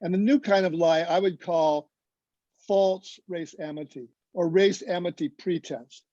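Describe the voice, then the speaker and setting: A man giving reflective, preacherly remarks as a roundtable panelist, deliberate and building lists in measured phrases, on a lo-fi video call. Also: high voice, warm tone, no room sound.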